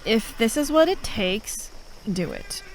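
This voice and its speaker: An actress as a sharp-tongued female military operative in a fantasy roleplay, exasperated and reluctantly caring. Her pitch swings widely while her pace stays slow, broken into short sardonic phrases. Faint night ambience sits behind her. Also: sing-song, a little hiss or hum, full character voice, poor audio. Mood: reluctant, worried. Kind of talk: fantasy audio roleplay acting, addressed to a listener.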